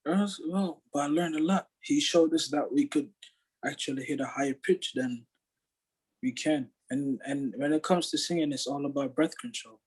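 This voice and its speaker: A young man with a fairly high voice that has a husky, slightly squeaky edge. He talks in a casual, slangy way and repeats phrases with animated energy. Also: normal speed, poor audio. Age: young adult. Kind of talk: casual chat.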